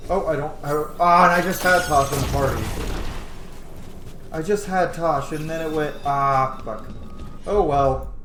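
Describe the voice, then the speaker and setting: Guy playing games, blurts stuff out, swears casually, fairly high animated voice, game music behind. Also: measured pace, long pauses, reverberant. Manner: blurts exclamations, talks to himself and viewers. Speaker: gaming video host. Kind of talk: excitable gameplay commentary, casual swearing. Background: video game music.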